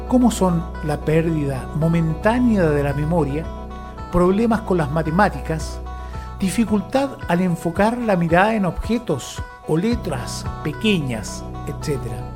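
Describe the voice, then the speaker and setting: A narrator reading a scripted, informative text in a measured documentary style. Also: medium pitch. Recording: music bed under the voice. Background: music.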